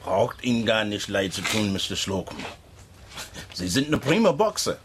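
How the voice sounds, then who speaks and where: A man's voice: lowish voice, big pitch swings, moderate pace, noisy background. An actor playing a wary, evasive working-class man with folksy, ungrammatical speech in a German radio drama. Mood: humble.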